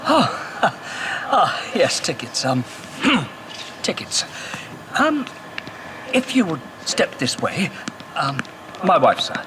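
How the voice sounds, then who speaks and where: Horror podcast role: anxious gallant gentleman, old-fashioned and formal, high and very animated, vehicle noise behind. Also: takes it slowly, long pauses. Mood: nervous, flustered. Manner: formal, flustered, hesitant phrasing. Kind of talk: horror audio drama acting.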